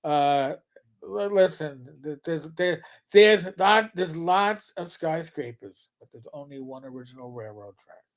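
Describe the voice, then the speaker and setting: A man's voice: on the high side, lively intonation, a little slow. A man with a New York accent hosting a live stream, chatting casually and rambling through his plugs. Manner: chatty, rambling, gets loud and brash when joking. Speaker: livestream host.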